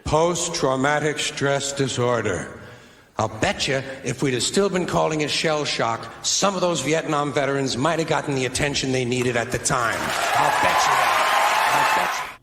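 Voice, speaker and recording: A man's voice: mid-range voice, bright, forceful tone. Man doing biting stand-up in an echoey hall. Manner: biting, deliberate delivery. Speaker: stand-up comedian. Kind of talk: stand-up routine. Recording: echoey hall, faint hiss.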